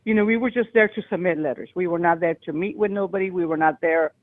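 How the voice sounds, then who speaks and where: A woman's voice: on the low side, fast talker, no room sound. Rambling woman caller on a phone line. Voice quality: loud voice. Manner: rambling, agitated storytelling. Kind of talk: call-in show phone call.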